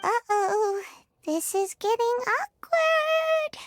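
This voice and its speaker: A woman voicing a tiny, childlike sidekick creature in a video game, excitable and blunt. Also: very high voice, drawn-out words, dry recording, studio quality, exaggerated voice. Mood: awkward.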